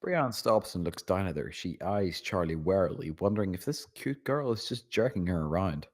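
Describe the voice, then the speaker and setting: A man's voice: low voice, lively intonation, moderate pace. A man reading out stage directions as narrator at a script table read.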